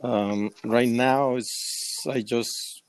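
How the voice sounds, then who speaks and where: A man of sixty-five from El Salvador with a Salvadoran Spanish accent, giving casual, halting answers as an interview guest. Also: mid-range voice, fairly even intonation, clean tone, no echo. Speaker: interview guest, semi-retired.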